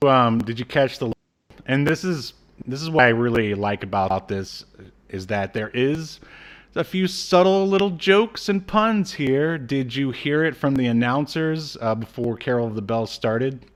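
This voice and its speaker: A man's voice: medium pitch, expressive, a little slow. A man in his thirties co-hosting an informal review chat, talking in a casual, joking way. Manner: jokey, informal. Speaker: podcast co-host. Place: indoor room, on a video call.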